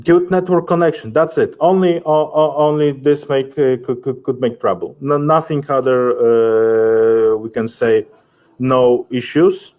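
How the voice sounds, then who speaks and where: Man, webinar presenter, slow pace, mid pitch, hesitant, halting, unscripted technical answers. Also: fairly even intonation, a little mellow. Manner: halting, hesitant, searching for words.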